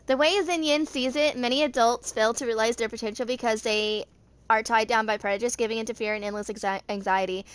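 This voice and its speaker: A woman in her mid twenties with a fairly high voice reads lore aloud as an amateur narrator. Her delivery is halting, and she trips on words and goes back over them. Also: steady tone, clear, resonant tone. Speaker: video creator reading game character lore.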